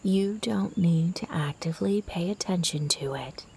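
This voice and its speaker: Slow, breathy half-whispering woman. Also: on the low side. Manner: soothing, gentle, unhurried. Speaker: meditation guide. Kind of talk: guided sleep meditation narration.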